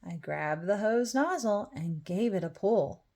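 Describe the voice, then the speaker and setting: A woman reading a rhyming children's storybook aloud, with expressive, deliberate phrasing. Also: medium pitch. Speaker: storybook reader. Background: music.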